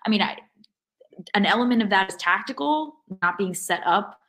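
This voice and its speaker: A woman's voice: mid-range voice, expressive, moderate pace, pushed and bright. A woman co-hosting a sports podcast, casually breaking down a match in a critical, analytical way. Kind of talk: casual post-match soccer discussion.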